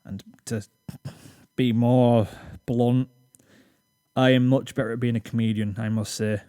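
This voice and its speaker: A British man speaks at a middle pitch, reading from a script in a rambling, self-deprecating way. He sounds a little awkward, as if unused to reading aloud. The recording is close and dry, made on a desk microphone. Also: flat delivery, a little slow, mellow, dry recording. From Britain. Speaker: YouTube channel host. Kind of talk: scripted channel reflection.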